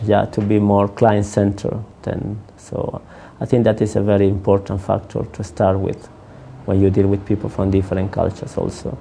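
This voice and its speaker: Gravelly-voiced counselor, English not his first language. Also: fairly low pitch, mellow, a little hiss or hum. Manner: halting, many restarts and fillers. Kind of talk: interview answers.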